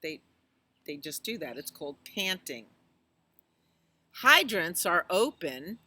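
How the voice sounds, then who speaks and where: Bright-voiced woman reading slowly to children. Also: fairly low pitch. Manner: animated, exclamatory, asks listeners questions. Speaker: children's storytime reader at a library. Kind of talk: picture-book read-aloud with chatty asides to children.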